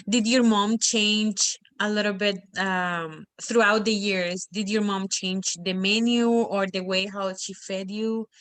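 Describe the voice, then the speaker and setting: Young salvadoran woman leading a class video call, native spanish, slow and friendly, dry close sound. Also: medium pitch, fairly bright tone, smooth, no echo. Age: young adult. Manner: unhurried, friendly, with small laughs. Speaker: student facilitating a class discussion.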